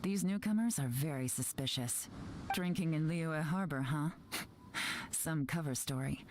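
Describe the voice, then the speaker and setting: A shrewd, coolly amused spy in a video game. Her low voice is measured and self-assured, with noticeable ambient noise behind it. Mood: sly, suspicious. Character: shrewd, composed female intelligence agent, coolly amused.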